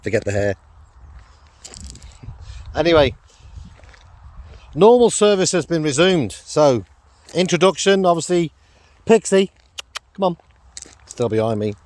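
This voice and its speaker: Croaky-voiced man vlogging outdoors. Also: on the high side, sing-song, a little slow, pauses often, darkish tone. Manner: rambling, chatty, lots of fillers and laughs. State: croaky throat. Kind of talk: casual walking vlog. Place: outdoors on a walk with a dog.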